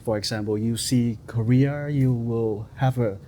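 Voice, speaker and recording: A man talking as a filmmaker guest on an interview podcast, hesitant, filling pauses with "like" and "um" and loose grammar. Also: mid-range voice, steady tone, warm tone.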